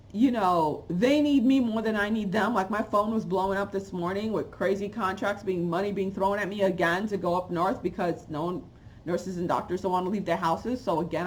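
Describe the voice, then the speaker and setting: A woman, talking straight to a webcam from a room at home in an opinionated vlog, jumping from loud exclamations to muttered asides. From Haiti, raised in New York. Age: 40s. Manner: animated, swings from loud exclamations to low asides. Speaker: channel host. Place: home room with bookshelves, indoors. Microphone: webcam at close range.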